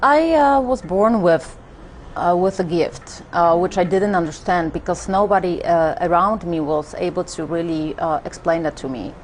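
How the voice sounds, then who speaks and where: Low-voiced woman with a Polish accent. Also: moderate pace, slight background noise. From Poland. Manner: hesitant, many fillers and restarts. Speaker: guest, spiritual teacher. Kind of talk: interview conversation.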